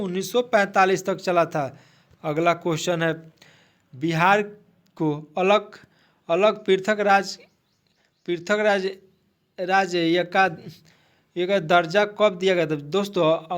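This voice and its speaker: A man teaching an exam-prep quiz, reading out questions haltingly and repeating the answers to his listeners. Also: high-pitched. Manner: repetitive, asks then restates answers, addresses listeners as friends.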